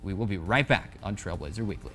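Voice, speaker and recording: A man in his twenties presents sports news as a show host. His voice sits a little low, and he reads in a scripted broadcast style on a studio lapel mic. Music plays faintly with it. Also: sing-song, quick pace, a bit bright. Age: 20s.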